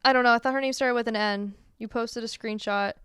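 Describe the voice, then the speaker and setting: Female podcast co-host. Clean, smooth tone, informal and supportive delivery, dry close recording. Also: on the high side, fairly even intonation, brisk, a bit bright, dry recording. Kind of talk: casual chat.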